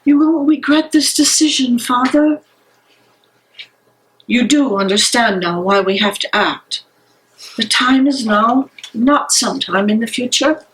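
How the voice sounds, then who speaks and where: Woman acting a slow, resolute resistance conspirator. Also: fairly high pitch, fairly bright tone, rough recording. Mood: urgent, earnest. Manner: deliberate, earnest. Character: resolute secretive anti-Nazi conspirator, urging action. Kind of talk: dramatic stage reading.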